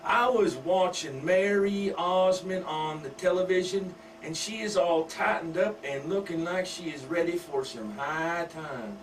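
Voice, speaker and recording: A man voicing a crude, boastful middle-aged country wife with a loud, brassy drawl. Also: high voice, unhurried, hardly any pauses, pitched above the performer's own voice. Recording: distant mic with faint hum.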